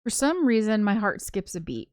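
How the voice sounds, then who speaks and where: A woman's voice: mid-range voice, sing-song, moderate pace, darkish tone, dry recording, studio quality, natural voice. A woman reading a brooding, hazy-headed young ghost girl's first-person narration from a visual novel. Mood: wistful, musing. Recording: prominent background game music.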